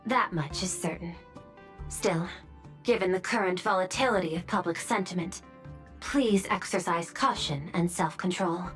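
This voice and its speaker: An actress playing a formal, cautious government official in a video game, speaking with composed precision. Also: female voice, lowish voice, normal speed, faint music behind, close to an everyday voice. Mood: composed, cautioning.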